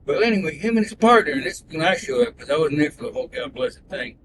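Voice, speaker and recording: A man's voice: big pitch swings, quick pace. A man from Canada, born in Belgium, telling long folksy sailing stories as a rideshare passenger, full of "uh" and restarts. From born in Belgium, raised in Canada. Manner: folksy, digressive, with frequent "uh" and restarts. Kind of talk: rambling casual storytelling. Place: inside a moving car. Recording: hidden mic in a car, road noise. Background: road rumble.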